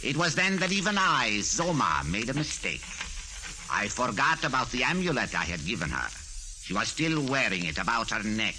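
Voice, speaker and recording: An actor in 1940s radio style playing a megalomaniac cult villain, grandiose, pompous and coldly polite in formal, theatrical speech. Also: male voice, mid-range voice, sing-song, normal speed, natural voice, poor audio. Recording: vintage 1940s radio with organ music.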